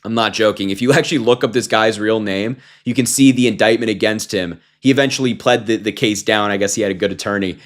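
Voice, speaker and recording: A young man in his twenties with a slightly low voice delivers casual political commentary straight to camera. He mocks his critics in a combative, informal way, with plenty of fillers and restarts along the way. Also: fast, hardly any pauses. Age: 20s. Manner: mocking, combative.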